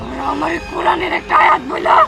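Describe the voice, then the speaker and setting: A performer voicing a menacing, vengeful man-eating ghost with a growling shout in an amateur horror film. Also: extremely high pitch, lively intonation, fast, vocal fry, over loud music, exaggerated voice. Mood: gloating, hungry. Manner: threatening, rustic colloquial speech. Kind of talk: amateur horror-comedy short film acting.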